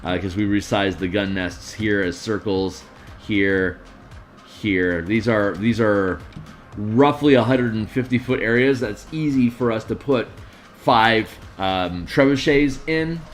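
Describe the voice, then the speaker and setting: A man with a tired, gruff voice streaming casually, rambling through tabletop game design with lots of fillers. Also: fairly low pitch, slow, soft background music. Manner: rambling, many fillers and restarts. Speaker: streamer and map artist.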